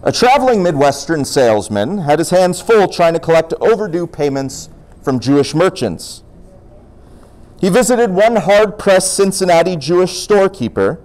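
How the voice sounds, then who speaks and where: A man presenting folk tales in an animated, explanatory storytelling style. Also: fairly high pitch. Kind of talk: storytelling talk with acted dialogue.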